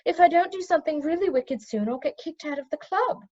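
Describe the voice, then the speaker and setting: A woman's voice: high-pitched, fairly quick, a little mellow, highs cut off, pitched above the performer's own voice, lightly acted. A woman voicing a flustered, earnest middle-aged prince and reluctant wicked uncle, stammering and sighing, in a storybook reading. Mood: rueful, earnest.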